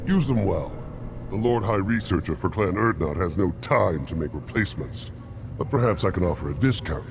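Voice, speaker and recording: An actor playing an arrogant, grumbling alien warrior scientist in a video game, obsessed with weapons. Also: male voice, low-pitched, expressive, normal speed, gravelly. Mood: haughty, dismissive. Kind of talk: video game character acting.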